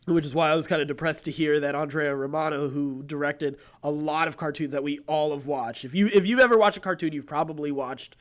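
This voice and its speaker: A man co-hosting a podcast in casual chat, speaking in a halting, digressive way with chuckles. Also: on the high side, steady tone. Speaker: podcast co-host. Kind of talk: casual chat about TV and voice acting.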